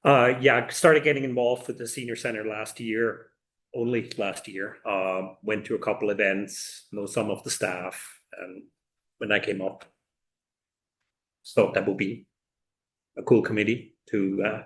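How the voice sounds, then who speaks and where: A man's voice: fairly low pitch, steady tone, measured pace, a bit bright. A middle-aged man with a Swiss accent introducing himself informally at a public meeting, in a casual, loosely phrased way. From Switzerland. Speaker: committee applicant. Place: indoor public meeting room.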